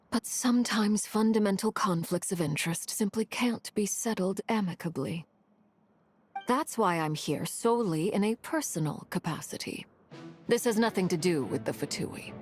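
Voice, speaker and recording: An actress playing a composed, guarded woman of rank in a video game, speaking in a measured, formal manner. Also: on the low side, natural voice. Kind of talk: video game character acting. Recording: background music under the voice.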